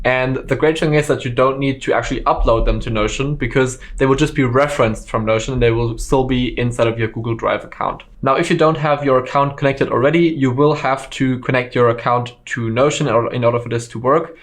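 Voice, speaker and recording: German man giving a software tutorial. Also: medium pitch. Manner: relaxed, with frequent fillers. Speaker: online course instructor. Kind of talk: screen-recorded software tutorial.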